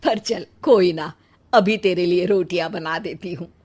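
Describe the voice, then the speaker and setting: A woman's voice: on the low side, a little slow, darkish tone, voice pushed down. Lady doing an older saas in a family moral story, motherly and teasing, takes her time. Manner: plain-spoken, motherly, teasing. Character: kindly, teasing, generous elderly Indian mother-in-law.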